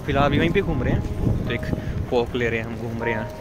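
A man's voice: mid-range voice, fast, over loud music. A young man chats casually to viewers as a vlogger, holding a phone at arm's length. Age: young adult. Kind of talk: casual vlog chat. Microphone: handheld phone at arm's length. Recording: noisy phone audio with wind and ambience.

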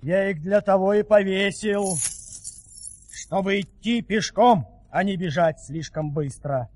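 Casting: easygoing storybook wonder-worker, high voice, unhurried deliberate delivery, long reverb. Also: male voice, a little mellow. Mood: cheerful, unhurried. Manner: measured, deliberate. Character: easygoing, unhurried fairy-tale helper with marvelous skills. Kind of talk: theatrical audio-play acting.

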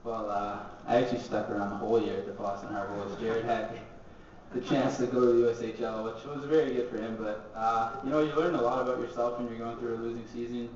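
A man's voice: mid-range voice, fairly even intonation, fast, a little mellow, a little hiss or hum. A young man, a college hockey player, answering reporters at a press conference. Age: young adult. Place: large echoey press room. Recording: echoey, distant, dull.

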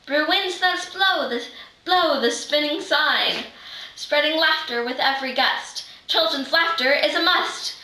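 Teenage girl playing a high, excitable witch. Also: unhurried, full character voice. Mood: chanting. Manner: dramatic chanting delivery. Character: excitable female witch chanting spells, proud and eager. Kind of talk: readers' theater script reading. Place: living room, far camera microphone. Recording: roomy, distant microphone.

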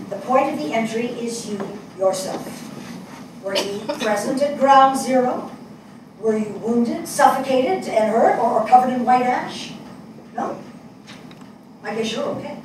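A woman in her sixties playing an earnest, shaken New Yorker in a deliberate, searching dramatic monologue read on stage. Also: on the high side, natural voice. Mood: searching, anguished. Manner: deliberate, searching, with rhetorical questions and dramatic pauses. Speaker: stage actor in a dramatic reading. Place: small theatre stage before an audience. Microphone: far camera. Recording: echoey theatre, distant mic, noisy.

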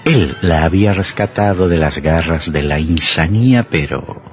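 A man narrating a radio horror drama from a script in a deliberate, theatrical, dramatic style. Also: sits low, a crowd in the background. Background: music.

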